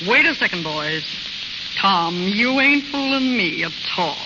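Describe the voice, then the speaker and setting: An actress playing a sharp-tongued, jealous American gang moll in an old radio drama, hard-boiled and slangy. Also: female voice, mid-range voice, lively intonation, a little slow, lightly acted. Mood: accusing, threatening. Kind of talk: 1930s-40s radio drama acting. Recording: muffled, noisy vintage radio recording.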